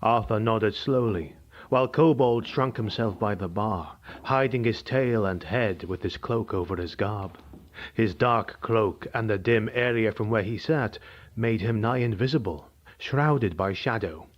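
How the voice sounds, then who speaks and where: A man narrating a dark fantasy audiobook in a measured, dramatic way. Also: lowish voice. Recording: dull lo-fi recording.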